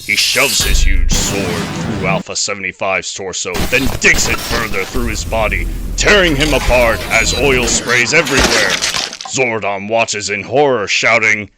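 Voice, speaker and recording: A man's voice: lowish voice, measured pace, loud music behind the voice. A man narrating a scripted action audio drama as a storyteller. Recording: noisy home recording.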